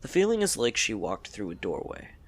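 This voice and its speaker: A man's voice: fairly low pitch, huge pitch swings, a little fast, breathy edge. A man narrating a scripted audio story.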